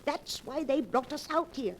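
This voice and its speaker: A sharp, suspicious old lady in a 1940s radio play. Her voice is high and very expressive, with deliberate dramatic delivery, over a noisy vintage recording. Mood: grimly certain. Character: elderly woman, doting cat owner, sharp and suspicious.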